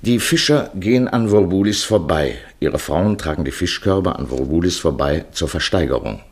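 Deep, husky male radio play narrator. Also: expressive, moderate pace.

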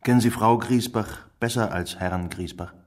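A fairly low man's voice in the part of a sceptical police inspector in a radio drama. He probes drily and officially, matter-of-fact throughout. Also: moderate pace, darkish tone, close to an everyday voice. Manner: probing, dry, official. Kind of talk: radio play acting.

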